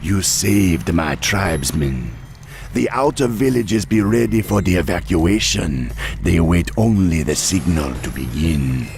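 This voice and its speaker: An actor playing a wily troll tribe chieftain in a fantasy game, with a gravelly Caribbean accent and a drawling, commanding manner. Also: male voice, deep voice, animated, unhurried, darkish tone, a little hiss or hum, exaggerated voice. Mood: pleased, cautioning. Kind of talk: fantasy game character acting. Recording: music under the voice.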